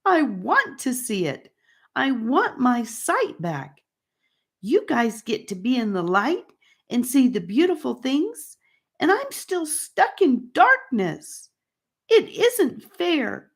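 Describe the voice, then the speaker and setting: A woman's voice: fairly high pitch, voice pushed up, heavily acted. A woman voicing an angry, frustrated blinded boy in an audiobook story, whining and emphatic.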